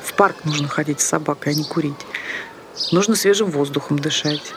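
A man's voice: fairly high pitch, a little fast, natural voice. A man playing a chatty, meddlesome passer-by in a radio play, insistent and preachy, over outdoor noise and birdsong. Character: chatty, meddlesome passer-by in a park, nagging a young smoker. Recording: noisy outdoor ambience with birdsong.

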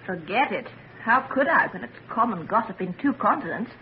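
An actress playing an indignant, protective middle-aged society matron in an old-time radio drama. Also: female voice, medium pitch, lively intonation, normal speed, close to an everyday voice. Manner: emphatic, indignant, probing. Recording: dull, noisy vintage radio recording.

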